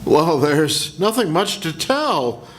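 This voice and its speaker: A man's voice: fairly high pitch, sing-song, slow. An older man in a senior center radio drama reading, playing a nervous husband who stammers when questioned. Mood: flustered. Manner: flustered, stammering. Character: nervous husband suspect, man, middle-aged. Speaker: amateur radio actor.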